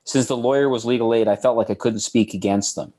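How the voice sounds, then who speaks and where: A man reading out a personal testimony in a serious, measured way. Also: medium pitch, fairly even intonation, warm tone. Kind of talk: read testimony narration.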